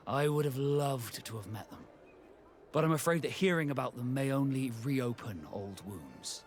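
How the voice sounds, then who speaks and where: An actor playing a proud, reserved male ship captain in a video game, speaking in a measured, formal and courteous way. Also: medium pitch, expressive, a little hiss or hum, close to an everyday voice. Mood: earnest, grateful. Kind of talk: video game dialogue acting.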